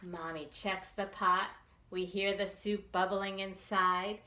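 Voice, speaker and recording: A woman reading a picture book aloud for young children, expressively and with deliberate enunciation. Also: medium pitch, fairly even intonation, pushed and bright, reverberant. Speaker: children's librarian storytime reader.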